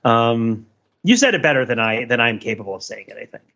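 Bright-voiced rambling man in casual discussion. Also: mid-range voice, expressive, a little fast, creaky, dull audio. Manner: rambling, self-interrupting, searching for words. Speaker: podcast panelist.

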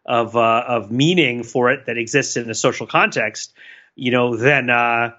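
A man co-hosting a podcast, thinking out loud in casual analytical talk, rambling with restarts and laughs. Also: medium pitch, fairly even intonation, measured pace. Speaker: podcast co-host. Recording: dull lo-fi sound.

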